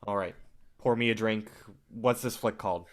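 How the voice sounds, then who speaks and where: A man's voice: lowish voice, moderate pace, close to an everyday voice. A man in a staged play reading, acting the part of a curt, domineering film company boss with a dismissive, profane manner.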